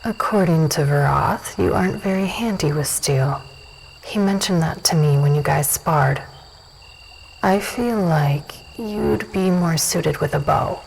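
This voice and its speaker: Woman as composed, lower-voiced dusk elf warrior. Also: measured pace, gravelly, a little mellow, slight background noise, poor audio. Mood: probing. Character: self-assured dusk elf warrior woman, hospitable, probing. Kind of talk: fantasy audio drama dialogue.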